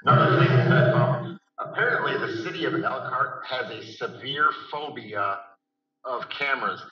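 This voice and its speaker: A man's voice: medium pitch, expressive, normal speed, faint music behind. A man on a video call who recounts events casually and hesitantly, with frequent fillers. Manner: hesitant, many fillers, plainspoken. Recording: roomy, distant, lo-fi call audio.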